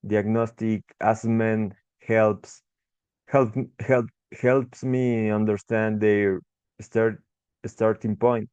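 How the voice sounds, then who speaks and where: A young man with an Ecuadorian accent talks slowly through a class presentation, stopping to restart words and fill gaps with "uh." His low voice stays flat and smooth. The recording is dry and a little muffled. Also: dry recording. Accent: Ecuadorian Spanish. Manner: halting, frequent restarts and fillers. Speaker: English tutor.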